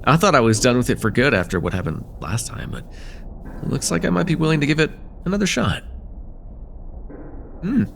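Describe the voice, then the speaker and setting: A man's voice: a little high, expressive, brisk, gravelly, long pauses, a bit bright, a little hiss or hum. A man playing a friendly, teasing college-age guy in a casual, hesitant slice-of-life ASMR roleplay with a close friend. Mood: thoughtful.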